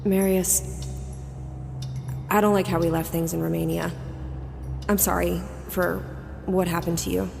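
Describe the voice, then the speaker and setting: A woman's voice: lowish voice, flat delivery, a little slow, gravelly, pauses often, in a large hall, over music. A woman acting in an audio drama as a determined, troubled young investigator, earnest and questioning. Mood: uneasy, troubled.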